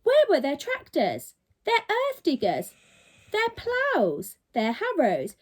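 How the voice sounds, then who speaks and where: A woman reading a children's storybook aloud in an animated, dramatic, teacherly way. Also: high-pitched, takes it slowly. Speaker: primary school teacher.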